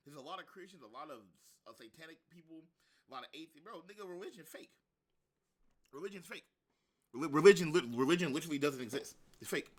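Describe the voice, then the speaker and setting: A man on a casual rant, blunt and full of slang and curses. His voice sits a little high and sounds bright and forward. He talks loose and animated, repeating himself for emphasis.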